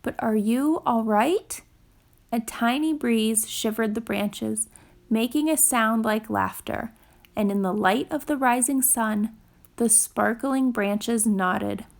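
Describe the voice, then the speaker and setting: A woman reading a children's storybook aloud in a careful, gentle, expressive storytelling style. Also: fairly high pitch, takes it slowly, gravelly. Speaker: storytime reader.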